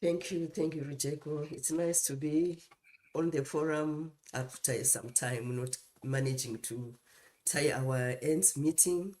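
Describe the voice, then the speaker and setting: A middle-aged woman with a Zimbabwean accent co-hosting a live talk show, speaking deliberately in short phrases with pauses. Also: sits low, darkish tone. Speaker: co-host and mentor.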